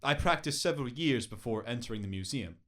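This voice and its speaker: A man's voice: mid-range voice, animated, quick pace, fairly bright tone, natural voice. A man playing a composed, courteous scholar and doctor in a radio play, speaking formally. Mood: composed, willing. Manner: formal, polite.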